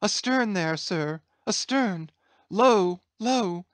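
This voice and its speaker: Woman acting crazed young cabin boy, slow singsong delivery, pitiful, archaic seafaring speech, dry recording. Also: mid-range voice, expressive, no echo. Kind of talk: dramatised classic sea novel reading.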